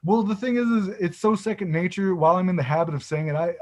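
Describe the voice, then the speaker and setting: A man chatting on a video call in a halting way, restarting his sentences, with a somewhat monotone delivery. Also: high-pitched. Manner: halting, many restarts, says himself he is monotone. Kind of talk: casual video call chat.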